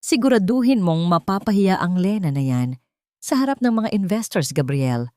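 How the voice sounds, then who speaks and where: Scheming wealthy villainess as read by a woman narrator, sneering, commanding, raised pitch, audio drama. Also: lively intonation, moderate pace, mellow, dry recording, clean studio sound, lightly acted.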